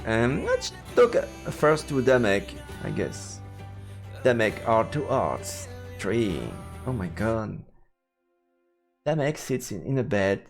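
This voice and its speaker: A man reading a visual novel aloud on a live stream in a playful way, with stammered asides. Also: on the low side. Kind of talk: live let's play, reading narration with reactions. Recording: background music under the voice. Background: game music.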